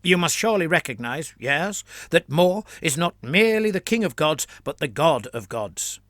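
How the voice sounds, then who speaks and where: A man's voice: a little high, very animated, normal speed, a bit bright, dry recording, studio quality, pitched above the performer's own voice. A man voicing a stern, zealous senior priest who preaches with earnest insistence. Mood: urgent. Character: stern zealous male priest, pleading for war.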